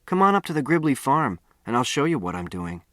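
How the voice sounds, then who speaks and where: A man's voice: mid-range voice, very animated, brisk, bright, forceful tone, dry recording, clean studio sound, voice pushed up. Man as an earnest, plain-spoken runaway boy. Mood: confident, dry. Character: earnest, self-reliant runaway boy from New York.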